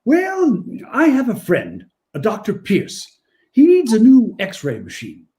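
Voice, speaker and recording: A man acting a kindly, merry old Santa Claus in a 1940s-style radio play, with gentle, courtly manners. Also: high-pitched, huge pitch swings, takes it slowly, full character voice.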